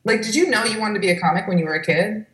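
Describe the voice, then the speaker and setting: A woman's voice: medium pitch, fairly bright tone, reverberant. A woman hosting an interview, asking questions in a casual, encouraging way. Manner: encouraging, quick to laugh along. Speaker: interviewer, host.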